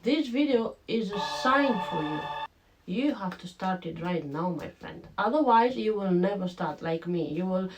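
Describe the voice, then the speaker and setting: A woman's voice: mid-range voice, measured pace, a little hiss or hum, low-quality recording. A woman from Romania with a mixed Romanian, Greek and slightly British accent, chatting casually to camera in a vlog at home. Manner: chatty and animated, addresses viewers directly. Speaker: vlogger. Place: home room with a lamp.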